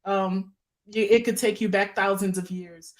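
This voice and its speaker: A woman's voice: mid-range voice, fairly even intonation, unhurried. A woman hosting a live online talk show, chatty and upbeat as she addresses viewers. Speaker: host.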